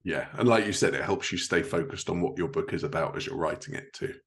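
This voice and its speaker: Deep, gravelly male podcast host. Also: fast, fairly bright tone. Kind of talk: conversational interviewing.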